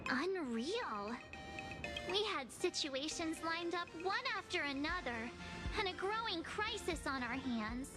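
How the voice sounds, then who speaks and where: A woman's voice: high-pitched, expressive, unhurried, over music. A woman voicing a cute, casual teenage girl adventurer in a video game, with a chatty manner. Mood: worried.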